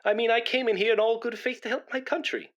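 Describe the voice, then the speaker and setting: An actor playing a pompous, nervous British chatterbox in a comic radio play, rambling and stammering when flustered. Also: male voice, very high voice, steady tone, quick pace, fairly bright tone. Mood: indignant. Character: pompous, nervous, chattering British man come to give blood. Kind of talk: comic radio play acting before an audience.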